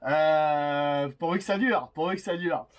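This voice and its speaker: A man's voice: fairly high pitch, unhurried. Man chatting hesitantly on CB. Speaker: CB radio operator. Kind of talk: casual radio chat.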